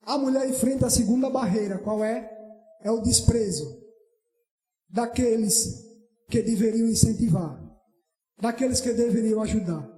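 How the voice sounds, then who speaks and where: A man's voice: very high-pitched, moderate pace, pauses often, dark tone. A Brazilian man preaching an impassioned evangelistic sermon, emphatic and given to repeating phrases and exclaiming praise. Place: church hall during evening service. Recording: echoey church hall PA, faint music.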